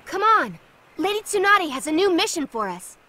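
An actress playing a brisk, teasing teenage ninja girl in an English anime dub. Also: extremely high pitch, big pitch swings, sharp tone, heavily acted.

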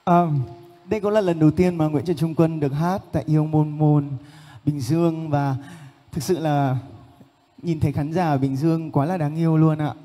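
A man with a fairly high speaking voice talks from a stage in a big indoor shopping mall, his words trailing off into a long hall reverb. He chats politely and casually with the crowd, coaxing them closer between songs, with faint music playing underneath. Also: steady tone, moderate pace, warm tone. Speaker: singer performing live. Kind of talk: casual stage banter with the audience.

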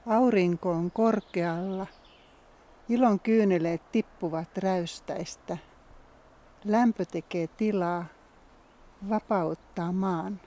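A woman reciting poetry in a measured, scripted style. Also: medium pitch, dark tone. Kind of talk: poetic recitation.

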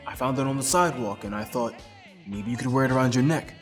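Man playing a halting, dim teenage boyfriend. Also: medium pitch, soft background music, close to an everyday voice. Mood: eager, awkward. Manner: halting, inarticulate, laid-back. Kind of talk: radio play acting.

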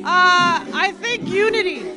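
A woman's voice: very high-pitched, a little slow. A woman guest chatting casually into a handheld mic at a party, giggly and friendly. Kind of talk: casual chat. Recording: loud party music and crowd.

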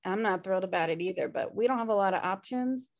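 A woman's voice: medium pitch, fast talker, close to an everyday voice. A woman playing a chill, messy, sarcastic twin sister in a comedy table read on a video call. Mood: wry, exasperated. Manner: wry, sarcastic. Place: home room, video call.

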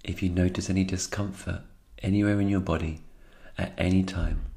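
Very low, creaky male meditation guide. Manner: calm, soothing, unhurried pauses. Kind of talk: slow guided meditation narration.

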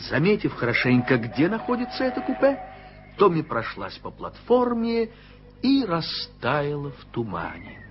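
A man's voice: on the high side, wildly swooping pitch, unhurried. Wry male narrator of a radio play. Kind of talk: scripted storybook narration. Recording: dull old radio play recording with music.

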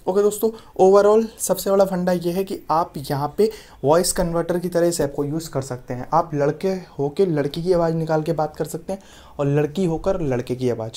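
A man's voice: a little high, normal speed, mellow. A man giving an app tutorial voice-over as a YouTube host, often addressing his viewers as friends.

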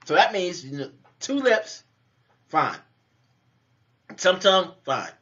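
A man's voice: sits high, slow pace. A man in his thirties co-hosting a casual live chat from a home kitchen, teasing and laughing as he argues. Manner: animated, teasing, laughs a lot. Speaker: co-host. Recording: muffled lo-fi webcam audio.

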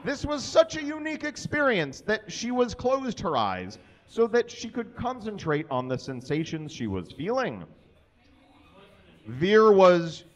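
A man in his thirties reading a story aloud to an audience into a microphone on a small stage. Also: high voice. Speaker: reader at a live comedy show. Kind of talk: dramatic reading of fan fiction to an audience. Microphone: handheld or stand microphone.

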